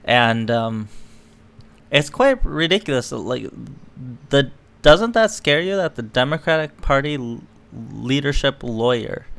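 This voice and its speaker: A man's voice: medium pitch, a bit bright, smooth. A man giving casual, opinionated reaction commentary in a mocking, sweary way. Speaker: online political commentator.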